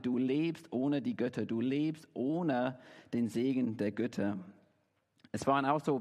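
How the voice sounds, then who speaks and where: A man's voice: medium pitch, a little mellow. A man from England, a native English speaker, preaching a sermon in German with frequent fillers and restarts. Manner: preaching in German as a learner, with self-corrections and fillers. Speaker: pastor. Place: church hall. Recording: reverberant church hall.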